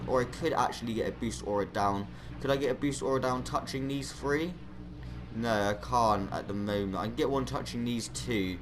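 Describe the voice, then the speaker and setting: Young guy w/ a stuffy nose rambling thru game tips, kinda low voice. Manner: chatty, rambling, lots of "like" and restarts. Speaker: gaming channel host. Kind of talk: gameplay commentary with strategy tips.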